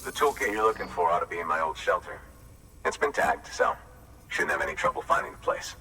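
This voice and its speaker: An actor playing a gruff, wary middle-aged survivalist weapon maker in a video game, with a gravelly voice and a folksy, blunt drawl. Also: male voice, sits low, very animated, brisk, bright, forceful tone, full character voice. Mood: grudgingly friendly. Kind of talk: video game cutscene acting. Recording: slightly distant mic, faint background noise.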